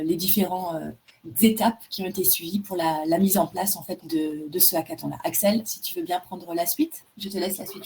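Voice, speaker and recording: Woman, warm mellow tone, webinar presenter, faint background hum. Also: fairly low pitch. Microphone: video call.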